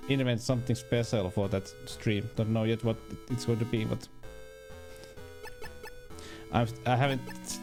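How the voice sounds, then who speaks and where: A man with a Finnish accent, a native Finnish speaker, giving casual livestream commentary as he plays, haltingly and searching for words. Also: mid-range voice, steady tone. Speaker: video game livestreamer. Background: game music.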